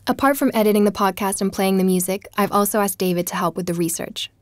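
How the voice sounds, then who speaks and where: An actress playing an inquisitive, persuasive woman podcaster in an audio drama, narrating and chatting in a measured, natural way. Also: mid-range voice, steady tone, lightly acted. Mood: reflective. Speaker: podcast narrator and lead character.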